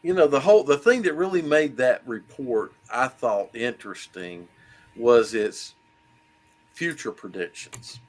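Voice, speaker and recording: A man co-hosting a casual sports talk show, speaking in a thoughtful way that trails off quietly. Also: medium pitch, measured pace. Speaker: co-host.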